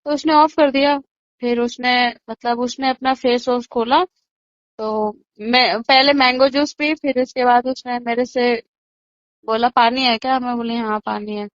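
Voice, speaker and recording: A woman's voice: fairly high pitch, steady tone, measured pace, no echo. A woman on a lo-fi phone call recording, casually recounting events as an eyewitness.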